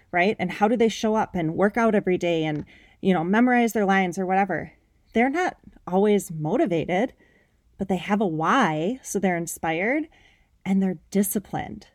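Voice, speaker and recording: A woman's voice: mid-range voice, no echo, clean studio sound. A woman in her thirties hosting a podcast, giving an upbeat, emphatic motivational talk in a conversational way. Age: 30s. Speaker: podcast host.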